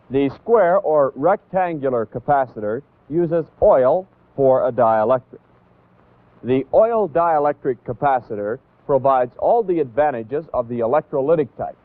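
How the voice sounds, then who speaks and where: An American man narrating a 1960s instructional training film as an instructor, in a deliberate, didactic manner that stresses key points. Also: mid-range voice, no echo. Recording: tinny, band-limited 1960s training film audio.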